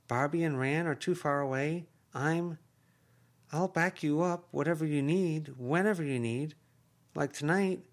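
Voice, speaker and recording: A man's voice: on the high side, voice pushed up, natural voice. A man voicing a cheerful, effusive aunt who talks in an emphatic way. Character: cheerful gushing adult aunt, woman.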